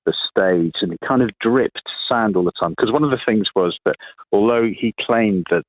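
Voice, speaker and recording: A man with a fairly low voice speaks as a guest in a radio interview. The sound is narrow and phone-like, with little room around it. He is thoughtful and halting, often reworking a sentence midway. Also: no room sound. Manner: reflective, halting, often rephrasing mid-sentence.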